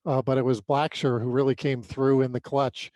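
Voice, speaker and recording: An American man co-hosting a sports podcast, giving an unscripted recap in a halting way with repeated words. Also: medium pitch, level tone, darkish tone, no echo.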